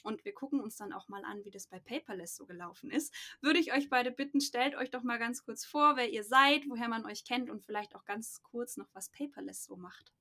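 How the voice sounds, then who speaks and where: A woman's voice: fairly high pitch, a little fast, few pauses, sharp tone. A woman hosting a business podcast, asking her guests questions in a chatty, informal way. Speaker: podcast host. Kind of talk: casual podcast interviewing.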